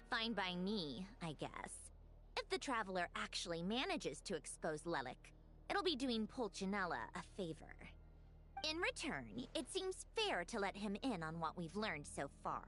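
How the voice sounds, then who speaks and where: A woman's voice: medium pitch, huge pitch swings, unhurried, a bit bright, soft background music. A woman playing a cool, haughty young noblewoman in a video game, speaking in a composed, aloof and precise way. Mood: aloof, reluctant. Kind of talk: video game character acting.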